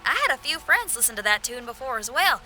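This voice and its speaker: A woman's voice: high-pitched, sing-song, quick pace, pushed and bright, soft background music, heavily acted. A woman voicing a confident, free-spirited young musician in a video game, with a breezy, assured way of talking. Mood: thoughtful.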